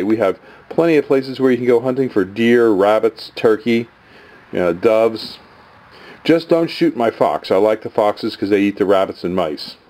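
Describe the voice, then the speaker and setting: A man's voice: medium pitch, a little mellow. A man who runs a tree farm, talking plainly and folksily about a trade offer, with some fillers. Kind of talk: casual promo talk.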